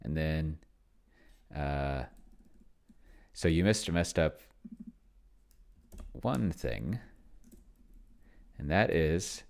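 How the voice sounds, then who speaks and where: A man with a very low voice that swings widely in pitch and often crackles with fry. He talks through his solo tabletop game in a casual, think-aloud way. He mutters to himself and stretches out words as he types. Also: lively intonation, a little mellow. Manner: muttering to himself, slowing as he dictates while typing. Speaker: solo tabletop gamer and video host. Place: indoors at a computer, keyboard clicks behind.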